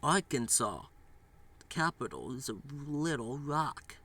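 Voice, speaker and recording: A man reading a children's picture book aloud in a halting, deliberate way, with small stumbles. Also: mid-range voice, big pitch swings, noisy background. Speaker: storybook reader.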